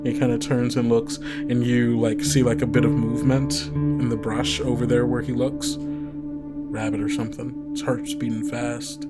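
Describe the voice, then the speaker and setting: Low-voiced male game master over guitar music. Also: little pitch movement, normal speed. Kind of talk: casual improvised narration of a tabletop roleplaying game. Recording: guitar music playing behind the voice. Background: plucked guitar music.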